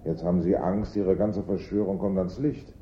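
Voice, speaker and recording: An actor playing a suspicious, impatient gentleman conspirator, urgent and commanding as he questions people. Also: fairly even intonation, normal speed, lightly acted. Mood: suspicious, nervous. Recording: dull vintage radio recording, faint rumble.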